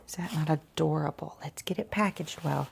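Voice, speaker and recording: A woman talking quietly in an ASMR shop roleplay, gentle and chatty, with a soft, breathy voice that often drops toward a whisper. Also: deep voice, moderate pace, background noise. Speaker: ASMR roleplayer as boutique shopkeeper and channel host.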